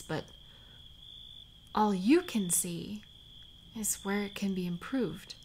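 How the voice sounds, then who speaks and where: A woman acting as a tender, worried lover of a warrior in an intimate audio roleplay, speaking softly and haltingly, sometimes close to a whisper. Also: mid-range voice, slight background noise. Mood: tender, admiring. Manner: soft, halting, sighing, sometimes near whisper.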